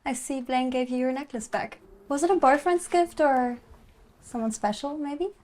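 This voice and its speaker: A woman's voice: high-pitched, moderate pace, darkish tone, lightly acted. A woman playing a prying, possessive woman with false friendliness in an audio drama, pointed in manner. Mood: probing, veiled threat. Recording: music behind the voice.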